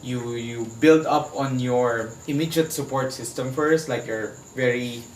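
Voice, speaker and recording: A man with a Filipino accent speaking as an interview guest, earnestly and haltingly, searching for his words as he gives personal testimony. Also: medium pitch, fairly even intonation. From Philippines. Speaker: HIV advocate, interview guest. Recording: echoey room, distant mic, faint background noise.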